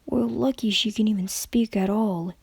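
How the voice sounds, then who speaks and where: A woman voicing a calm, reassuring pony doctor who speaks in a measured, careful way. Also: mid-range voice, vocal fry, slightly rough, no echo. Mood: hushed, grave.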